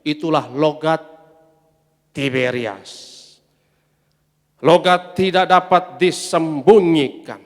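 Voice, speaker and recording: A man preaching a sermon as pastor, emphatic, swelling loud on his refrains and calling the congregation to say amen. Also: fairly high pitch. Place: large church hall. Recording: long church-hall reverb.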